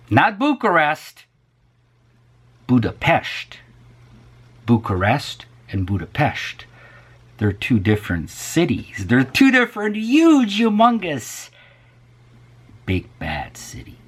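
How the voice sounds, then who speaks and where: A man's voice: medium pitch, a bit bright, breathy edge. A man hosting a casual vlog, rambling and musing to viewers, often dropping to a near whisper. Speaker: vlog host.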